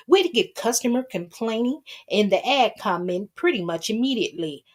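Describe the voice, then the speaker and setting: A woman voicing a meek, docile old man who plays the victim in a soft, earnest dramatic reading. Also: medium pitch.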